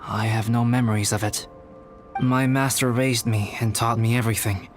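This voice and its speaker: An actor playing a calm, reserved scholarly alchemist in a video game, speaking in a measured, formal and articulate way. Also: male voice, medium pitch, soft background music, lightly acted. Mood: calm, reflective. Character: calm, youthful-looking male scholarly alchemist, reserved and courteous. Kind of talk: video game character acting.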